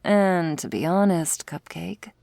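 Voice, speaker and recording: Casting: smug, flirtatious vampire huntress of prey for ASMR roleplay, played by a woman; slow drawl with gravelly fry, teasing and breathy. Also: on the low side, warm tone, no room sound, studio quality. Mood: cool taunting. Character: smug, predatory female vampire, taunting and flirtatious.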